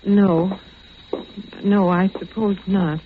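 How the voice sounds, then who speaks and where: An actress playing an anxious, hospitable middle-aged mother-in-law in a 1940s radio drama, halting and fretful. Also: mid-range voice, steady tone, lightly acted. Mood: anxious, distressed. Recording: tinny, hissy vintage radio.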